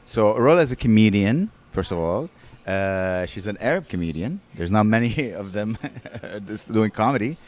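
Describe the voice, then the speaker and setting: A man hosting a podcast, introducing his guest with eager, halting speech and many restarts. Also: fairly low pitch, animated, a little mellow. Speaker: podcast host. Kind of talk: casual interview chat. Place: podcast studio.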